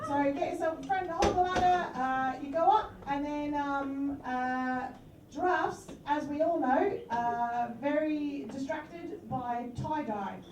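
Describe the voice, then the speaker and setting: A woman's voice: high voice, unhurried, noisy background. A woman playing a cheerful female tooth fairy for animals, improvising in a rambling way full of fillers at a live comedy show. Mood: playful. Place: live venue with audience. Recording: echoey room, far mic, loud crowd.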